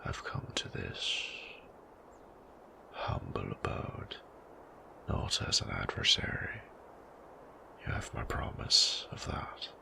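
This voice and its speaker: A man playing a suave, menacing old vampire in an audio drama, silky and formal, with a breathy, gravelly voice. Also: extremely low pitch, fairly even intonation, sharp tone, whispered, full character voice. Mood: soothing, silky. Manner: silky, formal, theatrically unhurried. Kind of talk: scripted audio roleplay acting.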